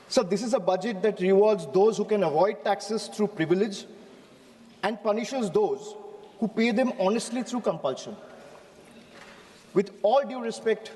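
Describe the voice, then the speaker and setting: A man with an Indian English accent giving an oratorical parliamentary speech from a desk microphone, using rhetorical repetition and measured emphasis. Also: high-pitched, darkish tone, big-room sound. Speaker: member of parliament. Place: large parliamentary chamber.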